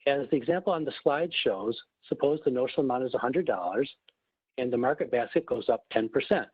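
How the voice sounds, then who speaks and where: A man giving a prepared, formal webinar presentation in a measured, explanatory manner, as a corporate executive. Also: mid-range voice, slightly rough. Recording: muffled phone line, roomy echo.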